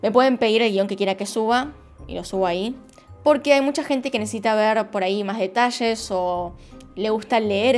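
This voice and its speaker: A young adult woman with an Argentine accent, earnestly narrating a true crime case to her viewers as a YouTube host. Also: medium pitch. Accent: Argentine Spanish. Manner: earnest, direct, addresses viewers.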